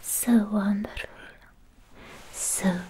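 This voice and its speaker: Casting: actress as tender anime-style demon slayer girlfriend, young adult woman, breathy whisper, extremely unhurried, mellow tone, ASMR roleplay. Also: mid-range voice, fairly even intonation, poor audio. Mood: caring, adoring. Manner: slow, halting, affectionate murmuring. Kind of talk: ASMR personal attention roleplay. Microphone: close microphone, whispering near it. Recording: faint hiss, mouth clicks, close-up.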